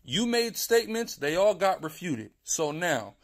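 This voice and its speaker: Man, high voice, heated argument, insistent, lecturing, repeats himself. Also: sing-song, measured pace. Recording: leaked phone call audio.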